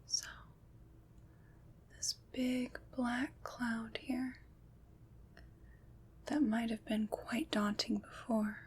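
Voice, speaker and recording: A woman playing a kind, soothing witch in a gentle, breathy ASMR whisper, pausing between phrases to reassure. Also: a little high, fairly even intonation. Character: kind, soothing witch comforting someone troubled by nightmares. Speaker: ASMR performer. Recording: clear background noise.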